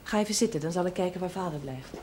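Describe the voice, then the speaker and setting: A woman's voice: on the low side, rapid-fire, a little mellow, slight background noise, natural voice. An actress playing a kind, sensible young woman, practical and reassuring, in a television period drama. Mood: gentle, reassuring.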